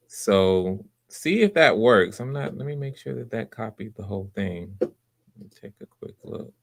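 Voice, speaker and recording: A man with a fairly low voice hosts a casual live stream, picked up close and dry. He is supportive and unscripted, often doubling back on his words as he explains things. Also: no echo. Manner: encouraging, supportive; halting, repeats words and backtracks. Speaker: host running live voice auditions.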